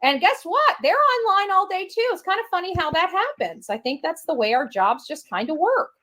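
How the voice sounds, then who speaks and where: A woman's voice: extremely high pitch, very animated, moderate pace, fairly bright tone. A woman chatting casually on a livestream in a brash, mocking way.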